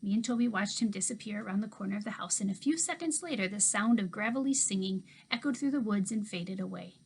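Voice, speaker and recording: A woman teacher reading a children's novel aloud to her class with expressive storybook delivery. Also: mid-range voice, quick pace, a little hiss or hum, poor audio.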